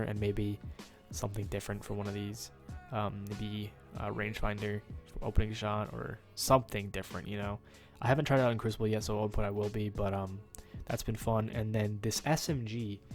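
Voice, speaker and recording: Nasal young man rambling over gameplay. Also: on the low side. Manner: rambling, full of ums and uhs. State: nasal from a cold. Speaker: gaming YouTuber. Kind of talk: casual gameplay commentary.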